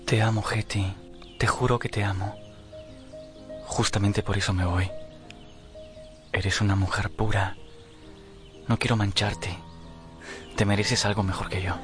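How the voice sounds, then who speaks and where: An actor playing an earnest, remorseful young romantic gentleman in a radio drama, speaking with dramatic sincerity. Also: lowish voice, fairly even intonation, measured pace, pauses often, natural voice. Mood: tender, resolute. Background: music.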